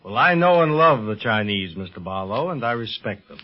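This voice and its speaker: An actor playing a dignified, courteous doctor and diplomat with a formal manner in a 1930s radio serial. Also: male voice, fairly low pitch, big pitch swings, fairly quick. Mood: gracious. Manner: formal, gracious. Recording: muffled 1930s radio recording.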